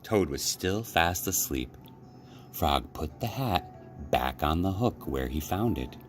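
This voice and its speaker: A man reads a children's story aloud at a slow, deliberate pace. His low voice moves through lively, expressive intonation, in the manner of a library storyteller. Faint background noise is present. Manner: expressive, simple deliberate phrasing.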